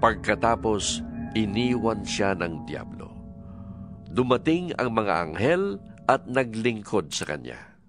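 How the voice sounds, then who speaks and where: A man narrating a dramatized scripture reading in a measured storytelling manner. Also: on the low side, no echo. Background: music.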